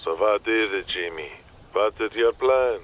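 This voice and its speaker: A man acts a skeptical old-school Hollywood agent, low and weary, heard through a dull telephone filter. He is dry and exasperated, in the manner of a comic audio drama. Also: unhurried, fairly bright tone. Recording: dull, muffled phone-call sound.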